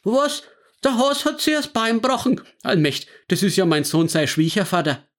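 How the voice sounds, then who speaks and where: A man voicing an excitable retired grandfather with a Franconian accent. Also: high-pitched, normal speed, voice pushed up, lightly acted. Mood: shocked.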